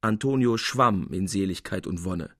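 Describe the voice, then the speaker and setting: A man reading a literary tale as narrator, with precise, expressive and theatrical storytelling. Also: low voice, normal speed, dry recording, clean studio sound. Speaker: narrator, reader.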